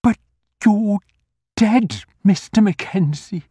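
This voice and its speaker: A man's voice: sits high, takes it slowly, dark tone, husky, no room sound, clean studio sound, pitched above the performer's own voice, exaggerated voice. A man voicing an anxious, kindly old male corner grocer who fusses with old-fashioned politeness. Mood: horrified.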